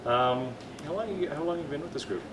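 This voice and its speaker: A Canadian man interviewing band members with halting questions full of fillers and restarts. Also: a little high, lively intonation, a bit bright. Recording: noisy camcorder audio, partly off-mic.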